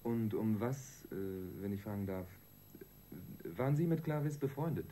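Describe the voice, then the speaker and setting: Actor as mellow, polite young-ranking detective. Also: male voice, mid-range voice, expressive, moderate pace, a little hiss or hum, close to an everyday voice. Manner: polite, hesitant, understated. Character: polite, persistent junior male police detective. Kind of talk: German radio crime drama acting.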